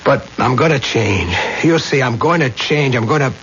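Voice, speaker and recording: A man's voice: mid-range voice, animated, normal speed, fairly bright tone, close to an everyday voice. Agitated, guilt-ridden husband played by a male actor. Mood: remorseful. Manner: agitated, stammering, theatrical. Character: weak, scheming, guilt-ridden husband, nervous and evasive. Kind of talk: radio drama acting. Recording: muffled, hissy old radio recording.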